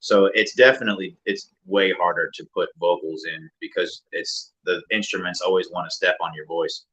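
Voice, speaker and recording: A man, a music producer and rapper, talking casually and reflectively as an interview guest in a deeper mid-range voice. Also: normal speed, highs cut off. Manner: relaxed, reflective, many fillers.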